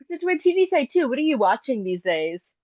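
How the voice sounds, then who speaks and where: A woman's voice: high-pitched, sing-song, fairly quick, darkish tone, dry recording, highs cut off. A woman hosting an interview, asking questions and giving brief, friendly reactions. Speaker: interviewer host. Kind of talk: casual interview chat.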